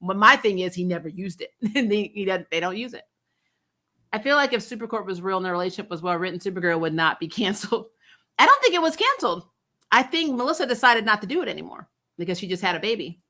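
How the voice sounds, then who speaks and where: Bright-voiced woman, blunt fan commentary. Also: mid-range voice, sing-song, quick pace. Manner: blunt, conversational. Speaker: host of a TV recap show. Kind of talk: casual opinionated fan commentary.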